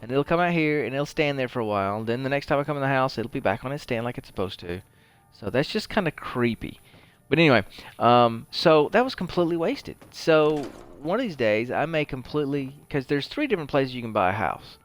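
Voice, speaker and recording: A man's voice: mid-range voice, lively intonation, brisk. A man giving casual gameplay commentary, rambling and conversational as he thinks aloud. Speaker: host of a video game playthrough show.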